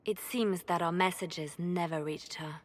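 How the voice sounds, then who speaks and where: Woman doing cool shrewd spymaster lady for a video game, lowish controlled voice, some noise behind. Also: unhurried, close to an everyday voice. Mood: calculating. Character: cool, calculating female spymaster. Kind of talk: video game cutscene acting.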